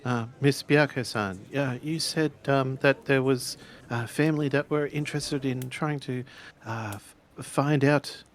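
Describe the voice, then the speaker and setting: Man acting courteous samurai investigator, very slow pace, average pitch, formal, hesitant, tabletop roleplay. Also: fairly even intonation, close to an everyday voice. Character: courteous male samurai-era investigator making inquiries.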